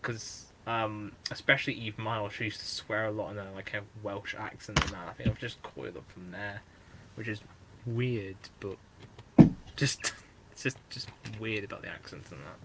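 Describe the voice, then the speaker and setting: A man's voice: on the low side, normal speed, heavy background noise. A man with a Northern English accent, hosting a casual podcast and rambling with lots of fillers and restarts. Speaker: podcast host.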